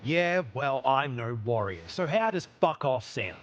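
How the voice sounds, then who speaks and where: Cocky, animated smuggler captain. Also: male voice, medium pitch, a little slow, lo-fi sound. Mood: defiant. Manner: brash, sarcastic. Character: cocky, defiant human smuggler captain, a mouthy war veteran. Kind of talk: video game cutscene acting.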